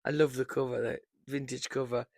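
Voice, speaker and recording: A woman in her fifties, hoarse from losing her voice, chatting casually and rambling about books on her vlog into a microphone on a holder. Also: rumbling low, steady tone, fairly quick, dry recording, studio quality. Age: 50s. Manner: chatty, rambling, warm fond asides. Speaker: book vlogger.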